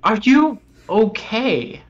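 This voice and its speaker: A man's voice: sits high, big pitch swings, drawn-out words, dull audio, slight background noise. A man being interviewed as a game developer, explaining things in an earnest, hesitant way.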